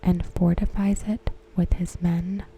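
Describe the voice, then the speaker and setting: A woman softly whispering a scripted recap in ASMR style, close to the microphone, with gentle, deliberate phrasing. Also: on the low side, little pitch movement, slight background noise, rough recording. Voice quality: soft, breathy, mostly whispered. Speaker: ASMR host. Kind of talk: ASMR, softly reading a scripted episode synopsis.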